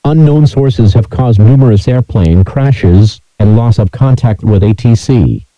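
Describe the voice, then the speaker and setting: A man's synthesized voice reading scripted emergency alert messages in a flat, measured, robotic delivery. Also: mid-range voice, dry recording, rough recording.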